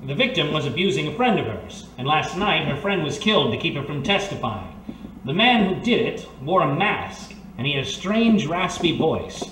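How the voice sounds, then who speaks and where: A man's voice: a little high, very animated, moderate pace, a bit bright. Man playing earnest, swingy-voiced 1930s private eye. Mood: probing, earnest. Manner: polite, hesitant, earnest. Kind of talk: old-time radio detective drama acting. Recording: roomy, distant recording with faint noise.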